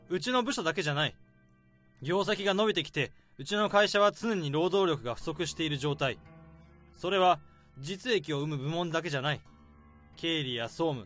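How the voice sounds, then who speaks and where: An actor playing an overworked male office worker laying out a case, in a radio drama, speaking calmly and methodically. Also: fairly high pitch. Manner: calm, methodical explaining.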